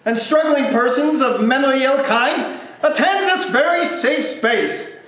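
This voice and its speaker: A man's voice: very high-pitched, lively intonation, unhurried, a bit bright, exaggerated voice. A man playing a portly, polite charity collector with florid formal manners in an amateur comic play reading. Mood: genteel pleading. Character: portly, genteel, ingratiating male charity collector. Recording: cavernous echoey room, faint background noise.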